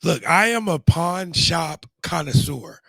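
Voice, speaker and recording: A middle-aged man with a high, gravelly voice that is going hoarse. He chats casually and rambles, restarting his sentences often. The sound is dry and close, with no room echo. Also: lively intonation, slow, a bit bright. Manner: rambling, frequent restarts and fillers. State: losing his voice. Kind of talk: casual chat.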